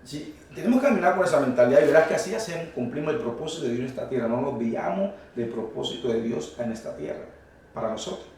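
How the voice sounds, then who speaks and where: A man's voice: medium pitch, expressive, fast, darkish tone, far from the mic, slight background noise. A man from Colombia preaching a fervent, emphatic sermon to a congregation that answers back, caught by a room microphone in a church hall. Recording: very echoey hall, voice from afar.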